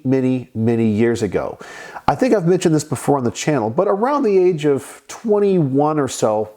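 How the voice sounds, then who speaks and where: Stuffed up raspy guy doing his weekly commentary, easygoing and explainy. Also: medium pitch, dark tone. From Texas. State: stuffed up from a sinus infection. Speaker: channel host. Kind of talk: casual commentary to camera.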